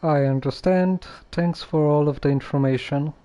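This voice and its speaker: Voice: mid-range voice, moderate pace, dark tone, lo-fi sound. A game streamer giving casual live commentary in a musing, hesitant manner.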